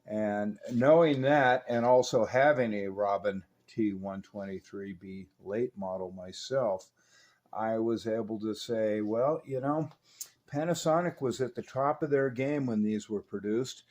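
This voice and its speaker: A man's voice: lowish voice, measured pace, darkish tone. A man giving an informal narrated repair demonstration in a conversational, matter-of-fact and opinionated way. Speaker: radio repair hobbyist, video host.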